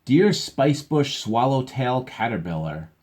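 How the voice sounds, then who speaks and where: A man's voice: mid-range voice, sing-song, a little slow, darkish tone. A man reading as a curious, blunt letter-writer who puts wondering questions to odd storybook animals with exaggerated delivery. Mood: nosy wonder. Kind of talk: children's storybook read-aloud.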